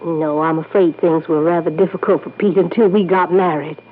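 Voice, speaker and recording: A woman's voice: fairly low pitch, steady tone, normal speed, natural voice. An actress playing a dignified, wealthy middle-aged lady in an old-time radio drama, genteel, composed and confiding. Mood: composed, candid. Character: dignified, wealthy Southern lady in her late forties. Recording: tinny, band-limited vintage radio recording.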